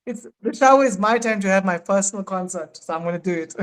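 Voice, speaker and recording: A South African man in his thirties, a podcast guest in casual, animated chat who laughs often. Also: high-pitched, a little fast. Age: 30s.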